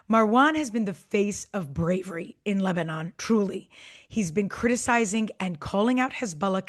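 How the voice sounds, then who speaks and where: A woman co-hosting a podcast, her voice a bit raspy and hoarse. She talks in an earnest, articulate, conversational way. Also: medium pitch. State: slightly hoarse, voice partly lost. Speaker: podcast co-host.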